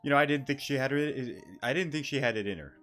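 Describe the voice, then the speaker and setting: A man's voice: medium pitch, fast talker, a bit bright. A man giving casual let's-play commentary, with wry, joking asides. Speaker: gaming YouTuber. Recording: game music underneath. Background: game music.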